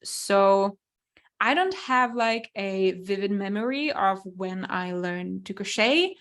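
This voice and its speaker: A young woman, speaking English as an at a slow, easy pace. She answers viewer questions through a computer mic, pausing to think aloud with frequent ums and small restarts. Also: mid-range voice, lively intonation, fairly bright tone. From Finland. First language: Swedish. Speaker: webinar host, crochet designer. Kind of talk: live webinar Q&A.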